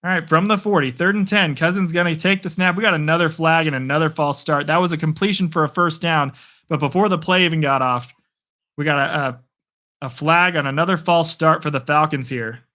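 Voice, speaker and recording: A young man doing casual live play-by-play over a stream, his voice a touch higher than most and bright, sharp in tone. He gets excited and chatty, and says his voice is giving out from all the yelling. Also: a little fast. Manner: excitable, chatty, answers viewer chat. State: losing his voice.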